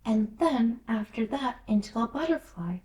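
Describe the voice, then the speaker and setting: A woman's voice: fairly high pitch, expressive, unhurried, dark tone, voice pushed up. A woman voicing a polite, puzzled little girl in a fairy tale, speaking earnestly with some hesitation. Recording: roomy echo, distant from mic.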